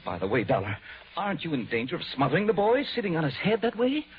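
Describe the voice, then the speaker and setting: An actor playing a fussy, anxious insurance manager in an old-time radio drama, speaking in a formal, exasperated way. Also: male voice, fairly high pitch, huge pitch swings, fast talker, a little hiss or hum. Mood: flustered. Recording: dull vintage radio recording.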